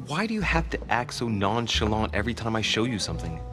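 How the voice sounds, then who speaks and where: Earnest young gentleman investigator, low-pitched. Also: very animated, fast, music in the background. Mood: eager, slightly piqued. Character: eager, inquisitive young gentleman investigator searching a manor. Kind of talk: video game cutscene acting.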